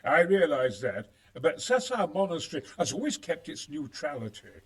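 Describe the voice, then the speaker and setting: An actor playing a crafty, conspiratorial schemer in a video game cutscene, with a sly, hedging, expressive manner. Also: male voice, on the high side, normal speed. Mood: sly, persuasive. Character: crafty, conspiratorial male schemer sheltering in a monastery.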